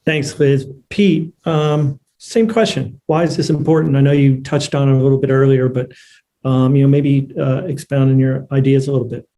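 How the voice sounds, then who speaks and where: A man's voice: mid-range voice, steady tone, normal speed, mellow. A man casually moderating a panel and putting questions to guests.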